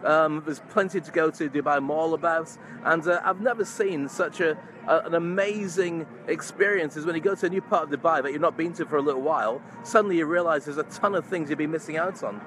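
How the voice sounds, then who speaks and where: A man's voice: a little high, a little fast. A middle-aged man chatting casually and effusively to his followers in a live vlog, speaking into a phone held at arm's length. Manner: effusive, chummy. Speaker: vlogger, entrepreneur and stage hypnotist. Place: large open park outdoors among crowds. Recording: noisy outdoor night crowd ambience.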